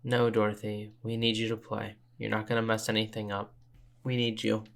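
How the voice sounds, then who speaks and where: A man's voice: on the low side, completely flat delivery, moderate pace, creaky. A man playing a character in an improvised tabletop game, speaking in an earnest, restrained way. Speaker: player in tabletop role-playing podcast. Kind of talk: in-character improvised role-play.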